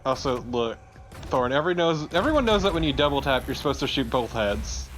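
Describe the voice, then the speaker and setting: A man's voice: mid-range voice, expressive, a little fast, a bit bright, soft background music. A man chatting casually and jokingly as co-commentator on a video game let's play.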